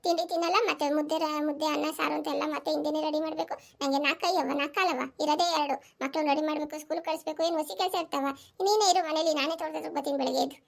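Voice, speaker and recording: A woman voicing an overworked, sharp-tongued village housewife and mother in a family cartoon, scolding and complaining with a rural southern Karnataka accent. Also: sits high, fairly even intonation, racing, vocal fry, few pauses. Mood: indignant, sarcastic. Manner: scolding, complaining, rhetorical questions.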